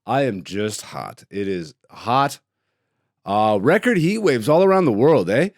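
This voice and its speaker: A man's voice: mid-range voice, sing-song, unhurried, dry recording. Laid-back, joking man with a dry close sound. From Greece. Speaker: podcast host. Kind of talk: casual solo podcast chat.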